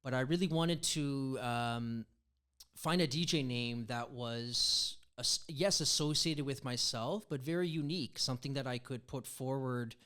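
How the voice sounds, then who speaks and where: A man's voice: medium pitch, measured pace, smooth. Easygoing man from New Zealand. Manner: relaxed, easygoing, hesitant with fillers. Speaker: guest DJ. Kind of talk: casual interview chat.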